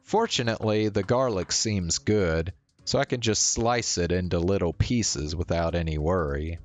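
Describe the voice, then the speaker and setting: A man reading a visual novel aloud as a streamer, in measured narration with the odd stumble. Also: deep voice. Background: faint music.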